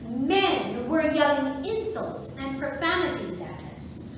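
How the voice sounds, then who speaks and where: Lady reading as proud suffragist lady, slow theatrical delivery, high voice, super echoey noisy hall. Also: big pitch swings, exaggerated voice. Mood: dismayed. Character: proud, theatrical suffragist woman who organized a parade. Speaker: volunteer reader in a museum reading play. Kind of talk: amateur reader's theatre monologue. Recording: echoey, noisy room recorded from far off.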